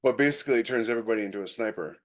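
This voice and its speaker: A man's voice: mid-range voice, rapid-fire. Casting: American male podcast host, mid-range voice, conversational and opinionated, talks in examples and hypotheticals. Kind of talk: casual chat.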